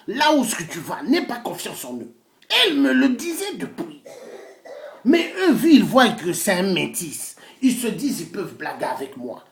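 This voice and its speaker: A man speaks as a preacher-activist in a fiery, declamatory monologue. His pitch swings widely as he boasts and hammers phrases by repeating them. The sound is echoey, as in a bare room. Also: sing-song, normal speed, vocal fry. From Congo-Brazzaville. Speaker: self-styled pastor and political activist. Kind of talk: impassioned political monologue.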